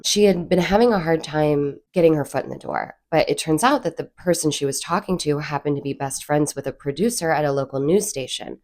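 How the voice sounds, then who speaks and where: A woman hosts her own video channel in a deep, low register, her voice froggy and congested from a cold. She tells stories casually and chattily, drifting into little asides and laughing at herself. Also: darkish tone. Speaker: channel host. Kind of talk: casual storytelling vlog.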